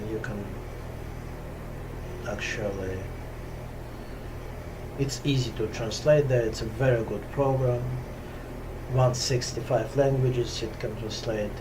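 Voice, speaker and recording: A man from Russia speaking English with a Russian accent, talking slowly and hesitantly with many pauses and fillers in a casual spiritual talk to camera. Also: mid-range voice, steady tone, background noise. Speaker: yoga teacher. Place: top-floor room at home on a hot day.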